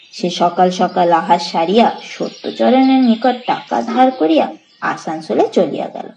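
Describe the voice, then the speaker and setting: High, lively woman storyteller with creaky edges. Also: slight background noise, poor audio. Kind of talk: dramatic literary audiobook narration in formal Bengali.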